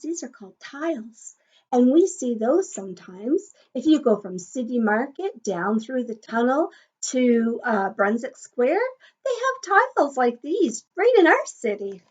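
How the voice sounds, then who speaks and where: Woman, high voice, unhurried pace, encouraging teacher talk, children's story read-aloud, little questions to the listeners, slightly lo-fi sound. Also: husky. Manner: encouraging, sing-song teacher talk addressed to small children. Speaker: teacher reading a picture book to young children.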